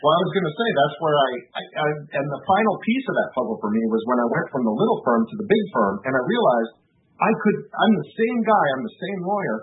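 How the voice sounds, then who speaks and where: A man, a lawyer and author, talking casually about his novel in an interview, thoughtful and halting, with frequent restarts. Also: fairly high pitch, very animated. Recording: tinny phone line.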